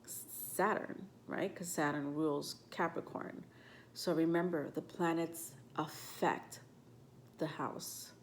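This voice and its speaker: A woman's voice: sits low, steady tone, slow pace, a little hiss or hum. A woman with a stuffed-up nose talking casually to camera as a tarot and astrology vlogger, rambling with lots of ums and self-corrections.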